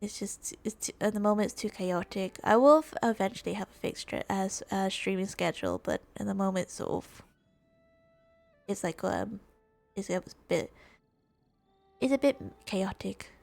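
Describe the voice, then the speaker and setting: A woman livestreamer chatting casually during a game, halting and often rephrasing herself. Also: medium pitch, gravelly. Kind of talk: casual gaming livestream chat. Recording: roomy, music under the voice.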